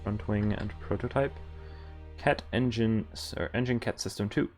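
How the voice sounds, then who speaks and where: A man's voice: lowish voice, steady tone, moderate pace, a little mellow. Wry male game streamer over music. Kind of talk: casual live gaming stream commentary. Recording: music playing behind the voice. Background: video game music.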